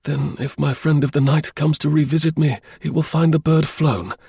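A man voicing an anxious, earnest young gentleman client in a storybook, polite, deferential and eager. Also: a little high, fairly even intonation, moderate pace, vocal fry, slightly rough, no room sound, studio quality, pitched above the performer's own voice, full character voice. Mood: eager, grateful.

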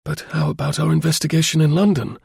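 Man playing an earnest young gentleman, pitched up. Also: steady tone, fairly quick, vocal fry, husky, no room sound, studio quality, heavily acted. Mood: rueful. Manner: polite, deferential, eager. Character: earnest, anxious young gentleman client, convalescing diplomatist.

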